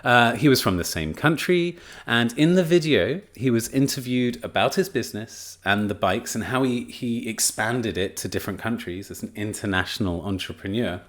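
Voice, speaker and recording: A man's voice: medium pitch, normal speed, few pauses, a bit bright. A man presenting a research study in a casual spoken lecture, chatty and enthusiastic, with frequent fillers and restarts. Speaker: teacher trainer presenting a study.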